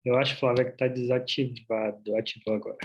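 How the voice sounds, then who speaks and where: A man from Bahia, a gynecologist with a Bahian accent, giving an explanatory talk over a video call, often pausing on "é". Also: medium pitch, level tone, darkish tone, dull audio. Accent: Bahian Portuguese. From Bahia, Brazil. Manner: explanatory, with frequent "é" fillers. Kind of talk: online medical presentation.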